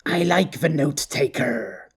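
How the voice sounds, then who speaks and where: A man voicing a scarred, bandaged man of few words with a shivering, raspy voice, speaking slowly. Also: fairly high pitch, animated, exaggerated voice. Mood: eerie.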